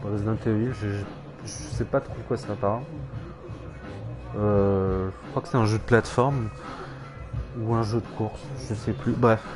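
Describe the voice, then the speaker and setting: A man's voice: fairly low pitch, fairly even intonation, moderate pace, pauses often, over music. A man streaming a game, rambling hesitantly with many fillers and self-corrections, with dry irony. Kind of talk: casual rambling game commentary.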